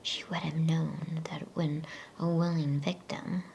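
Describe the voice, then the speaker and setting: A woman voicing a great, wise and gentle male lion in a solemn, unhurried whisper for a storybook reading. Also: low-pitched, little pitch movement, creaky, highs cut off, slight background noise, full character voice.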